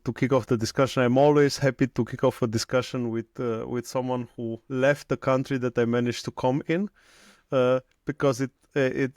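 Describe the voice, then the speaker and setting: A man's voice: medium pitch, darkish tone, dry recording. Man, podcast host, formal, hesitant, repeats words, dry close sound. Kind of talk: interview hosting.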